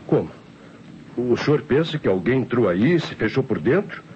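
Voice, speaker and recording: An actor playing a nervous, defensive laboratory assistant with a Brazilian accent in an old radio drama. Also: male voice, mid-range voice, sing-song, moderate pace, a little mellow, close to an everyday voice. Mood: agitated. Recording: dull, noisy old radio recording.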